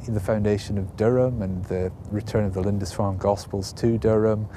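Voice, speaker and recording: A man with a warm, low voice explains in a loose, conversational way, leaning on soft fillers as he goes. A faint rumble sits under the recording. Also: steady tone, brisk. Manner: conversational, hedging with "you know" and "um". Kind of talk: explanatory talk.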